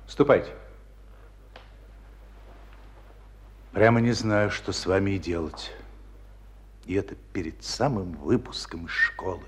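Stern, low-voiced military commander. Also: male voice. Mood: troubled, regretful. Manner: commanding, reproachful, emphatic. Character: stern male military school commander, exasperated but fair. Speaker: actor in a radio drama. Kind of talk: Russian radio play acting.

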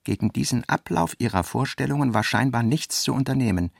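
A man's voice: medium pitch, lively intonation, a little fast, gravelly, husky, no room sound, clean studio sound. A man narrating literary prose as the narrator of a radio drama.

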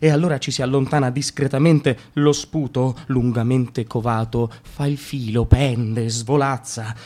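A man's voice: mid-range voice, fairly even intonation, a little slow, darkish tone. Man reading wry radio-theatre narration. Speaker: radio drama reader. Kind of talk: theatrical reading of a comic monologue.

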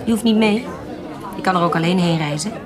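A woman's voice: fairly low pitch, a little fast, lightly acted. An actress in a Dutch radio play playing a shrewd, teasing, commanding woman adventurer of the 1790s. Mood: teasing, decisive. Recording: busy crowd chatter behind the voice. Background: loud tavern crowd.

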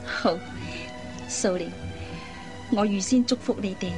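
A woman playing a kind, persuasive young elder sister in a Cantonese radio drama, coaxing and teasing in drawn-out phrases. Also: mid-range voice, over music, lightly acted. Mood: gentle, persuasive.

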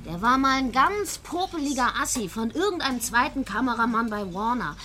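High-voiced, whiny, naive female sidekick. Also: animated, fairly quick, sharp tone. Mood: puzzled, defensive. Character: naive, resentful failed actress turned reluctant killer sidekick. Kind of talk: modern radio drama acting.

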